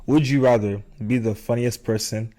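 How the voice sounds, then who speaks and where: A man's voice: mid-range voice, steady tone, normal speed, warm tone. A man hosting a podcast in casual chat, informally explaining his reasoning at length. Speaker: podcast host.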